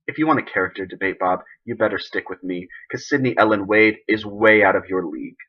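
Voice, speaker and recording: A man playing an embattled, idealistic president who gives an emphatic, deliberate, indignant speech in a dramatic monologue. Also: lowish voice, dull audio, natural voice. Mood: scornful, pointed.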